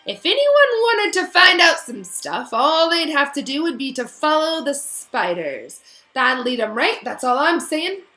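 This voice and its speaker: A woman voicing a huge, rough-spoken half-giant gamekeeper who shouts and pleads in high, loud bursts. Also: sing-song, measured pace, faint music behind, heavily acted. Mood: earnest. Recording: echoey room.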